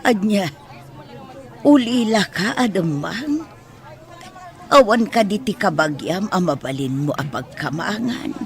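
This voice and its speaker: A woman playing a sly, flirtatious, scheming market vendor in an Ilocano radio drama, with an expressive, drawn-out delivery. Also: mid-range voice, a faint crowd. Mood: curious, kindly.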